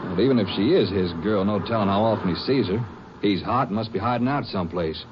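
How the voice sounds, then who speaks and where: An actor playing a skeptical, loyal Ranger sidekick in a 1950s radio detective drama, questioning and folksy. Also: male voice, fairly low pitch, fast, natural voice. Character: skeptical, loyal male Ranger sidekick, plain-talking lawman. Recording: dull, noisy vintage radio recording.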